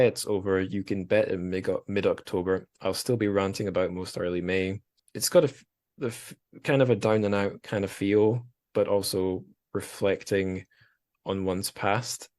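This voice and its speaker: A man's voice: low voice, fairly even intonation, moderate pace, a little mellow. A man in his thirties hosting a casual podcast, talking in an informal, reflective way with some swearing. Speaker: podcast host.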